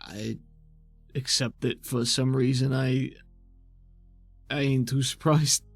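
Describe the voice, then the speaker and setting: A man's voice: medium pitch, steady tone, slow pace, no echo. A man improvising in-character dialogue and narration as game master of a tabletop role-playing show, with casual, folksy phrasing. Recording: music bed behind the voice.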